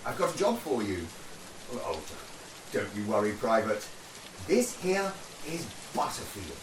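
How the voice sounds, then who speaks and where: A man performs as an avuncular, upper-class officer in a period war radio drama. His hearty, old-fashioned speech swings widely in pitch, heard from a distance in an echoey, rainy space. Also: sing-song, measured pace. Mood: jovial. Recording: echoey, distant, loud rain noise.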